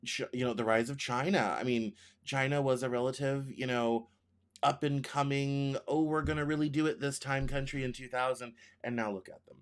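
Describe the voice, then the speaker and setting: A man in his thirties, from the United States, hosting a news show as casual commentary, rambling and correcting himself as he thinks aloud. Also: mid-range voice. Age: 30s.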